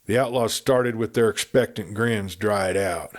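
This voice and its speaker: A man reading a western novel aloud in a steady storytelling manner. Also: lowish voice, unhurried. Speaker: audiobook reader.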